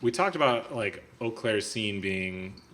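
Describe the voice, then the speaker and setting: Earnest, bright-toned man hosting a podcast. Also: fairly low pitch, animated, measured pace, a little hiss or hum. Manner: earnest, opinionated, talks in long runs. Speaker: podcast host. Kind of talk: casual conversation.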